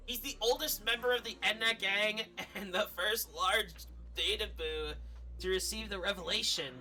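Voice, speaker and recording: A woman streaming a game, giving expressive, casual commentary and reading dialogue aloud, sometimes swearing. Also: mid-range voice, normal speed, low-quality recording. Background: faint video game music.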